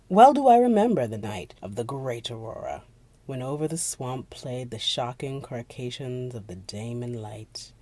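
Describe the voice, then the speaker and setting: A narrator of unclear sex giving a theatrical dramatic reading of a gothic horror story, at times dropping to a breathy near-whisper. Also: medium pitch, mellow.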